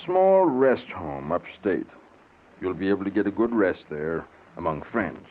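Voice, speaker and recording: Calm, reassuring doctor, deep register, old radio drama, narrow tinny sound. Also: male voice, exaggerated voice. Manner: measured, kindly professional. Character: calm, reassuring male psychiatrist.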